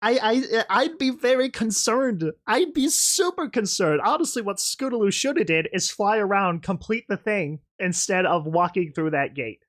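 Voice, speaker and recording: A reaction host with a mid-pitched voice reads a story aloud with expression. The delivery moves between dramatic narration and casual, emphatic commentary. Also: expressive, moderate pace, hardly any pauses.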